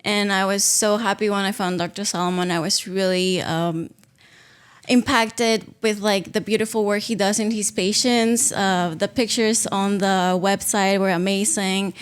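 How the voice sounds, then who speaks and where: A woman's voice: mid-range voice, fairly even intonation, a little slow, clear, resonant tone. A woman in her thirties from Peru, a native Spanish speaker, telling her own story casually as a podcast guest, sometimes searching for words. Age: 30s. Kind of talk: casual personal account.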